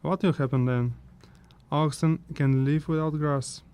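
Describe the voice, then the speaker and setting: Man acting a grumbling fantasy chimera, smooth and mellow. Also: a little high, fairly even intonation, a little slow, lightly acted. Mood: sardonic. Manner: grumbling, sardonic monologue. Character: grumbling, brooding male chimera wanderer, mixed-race fantasy creature. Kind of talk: fantasy audio drama acting.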